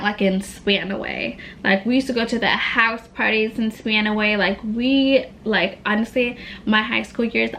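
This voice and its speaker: A woman's voice: medium pitch, a bit bright, a little hiss or hum, poor audio. A woman in her late twenties from Tacoma, Washington, chatting candidly during a makeup Q&A, full of "like" and slang. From Tacoma, Washington, USA. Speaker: beauty vlogger. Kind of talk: casual get-ready-with-me Q&A chat.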